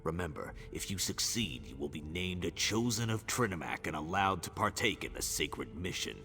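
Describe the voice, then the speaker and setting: A man playing a stern, devout orc elder in a fantasy video game, with a gravelly voice and a grave, measured, cryptic manner. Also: animated, sharp tone, heavily acted. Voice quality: very deep, gravelly. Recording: background music under the voice.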